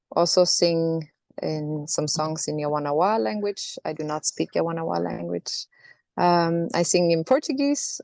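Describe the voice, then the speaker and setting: Soft-spoken woman, thoughtful. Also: lowish voice, vocal fry, no room sound. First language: Norwegian. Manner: thoughtful, halting, with many restarts and fillers. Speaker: singer, interview guest. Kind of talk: reflective interview answers.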